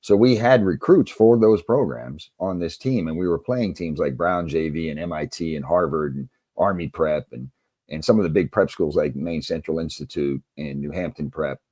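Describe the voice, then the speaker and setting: Very deep-voiced American man, middle-aged. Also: normal speed, dark tone, dry recording, studio quality. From United States. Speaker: interview guest, former basketball coach and author. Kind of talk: conversational interview storytelling.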